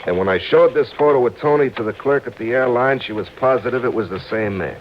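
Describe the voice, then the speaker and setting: A man's voice: medium pitch, quick pace, natural voice. An American man playing an exasperated, sarcastic city police lieutenant in a radio detective drama, blustering and sardonic. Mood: businesslike, grumbling. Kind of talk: 1950s-60s radio detective acting. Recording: muffled, slightly noisy old radio broadcast.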